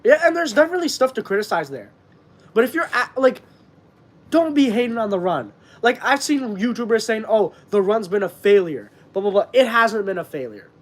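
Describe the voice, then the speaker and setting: Stuffed up young dude from canada, kinda high voice, rambles on a stream. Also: male voice, lively intonation, fairly quick. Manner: earnest, rambling, many fillers. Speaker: wrestling channel host. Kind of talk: casual live-stream chat.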